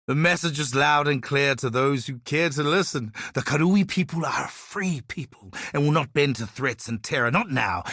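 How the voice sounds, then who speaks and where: A man's voice: mid-range voice, fairly bright tone, no room sound, clean studio sound. An actor voicing a proud, earnest and wry islander man who seeks justice for his enslaved people, in scripted video game dialogue. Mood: proud, resolute.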